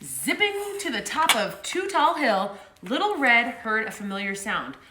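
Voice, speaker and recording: A woman hosts a story time and reads a picture book aloud to children in an upbeat, chatty way. Her voice is high, bright and animated. The echoey room adds a roomy ring. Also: measured pace, a little hiss or hum. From Colorado, United States. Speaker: story time host and reader.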